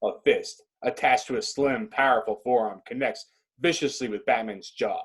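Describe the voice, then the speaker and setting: A man with a husky voice reading stage directions as dramatic scripted narration. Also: medium pitch, normal speed, a bit bright.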